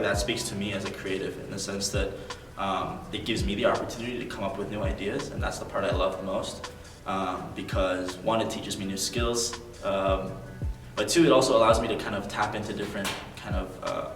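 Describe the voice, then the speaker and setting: A man's voice: on the low side, echoey room, distant mic, rough recording. A young man speaking as an interview guest, earnest and thoughtful, with many ums and likes. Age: young adult. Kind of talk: reflective interview talk.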